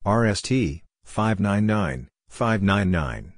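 A man reading short scripted practice phrases as a drill narrator, measured, spelling out letters and digits one by one. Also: fairly low pitch, animated, dry recording, clean studio sound.

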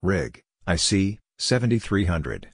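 A man with a low voice reads short scripted lesson phrases for Morse code practice. His delivery is slow and measured, each piece set apart from the next, in a dry recording with no room sound. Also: very animated, husky, no echo.

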